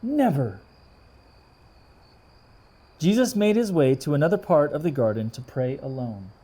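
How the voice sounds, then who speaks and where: A man reading a storybook aloud for children in an explanatory way, pausing to question his young listeners. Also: fairly high pitch, very animated, warm tone. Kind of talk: expressive children's read-aloud with asides.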